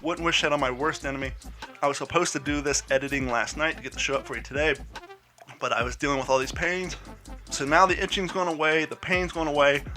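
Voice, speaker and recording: A man's voice: fairly high pitch, steady tone, fast, pushed and bright, faint music behind. A man hosting his own podcast, congested from a head cold, telling a rambling personal story with frank, joking candor. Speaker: podcast host. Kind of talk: casual solo podcast talk.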